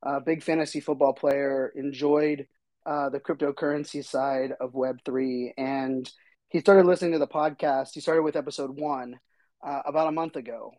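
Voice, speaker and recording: Slightly hoarse man hosting a podcast. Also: medium pitch, steady tone, moderate pace, a little mellow, no room sound. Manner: rambling, chatty, many fillers. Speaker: podcast host. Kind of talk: casual solo podcast talk.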